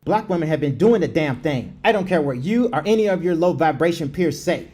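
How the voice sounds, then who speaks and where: A man with a fairly high voice reads online comments aloud informally, dropping in asides. The recording has faint noise and clicks behind him. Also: lively intonation, a little fast, mellow.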